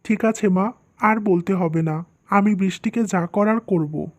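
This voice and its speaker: A woman's voice: mid-range voice, unhurried, a little mellow, close to an everyday voice. A woman voicing an earnest, worried young husband and dutiful son in a scripted cartoon, speaking plainly. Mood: resolved.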